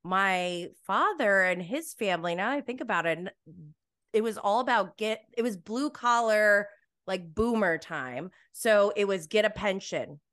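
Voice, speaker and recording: A woman hosting an interview in an eager, encouraging way. Her voice has a bright, forward tone. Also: mid-range voice, animated, measured pace. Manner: enthusiastic, affirming listener. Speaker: podcast host. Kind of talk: casual interview chat.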